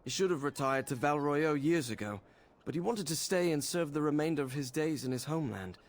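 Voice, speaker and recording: An actor playing a solemn, kindly holy knight guarding a temple in a fantasy game, speaking formally and gravely. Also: male voice, fairly high pitch, animated, fairly quick.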